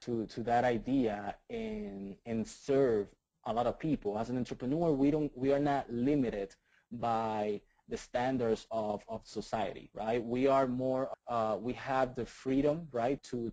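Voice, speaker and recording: Puerto Rican-accented man, mellow dry voice. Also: medium pitch, unhurried, creaky, no room sound, lo-fi sound. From Puerto Rico. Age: 30s. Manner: enthusiastic, repeats words, many "right?" tags. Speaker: guest. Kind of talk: casual interview talk.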